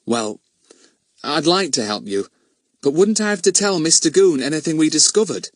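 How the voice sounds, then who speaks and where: A humble, honest village constable, acted out by a male narrator, plain-spoken and colloquial. The voice is raised in pitch and very animated, suited to a children's storybook. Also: moderate pace, no echo, studio quality. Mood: hesitant. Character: downtrodden, decent young village policeman, humble and honest.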